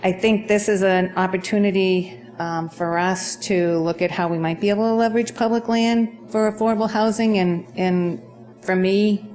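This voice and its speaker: Soft-spoken woman councilor. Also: mid-range voice, fairly even intonation, normal speed. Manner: soft-spoken, hesitant with fillers. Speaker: city councilor. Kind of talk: council meeting remarks. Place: council chamber.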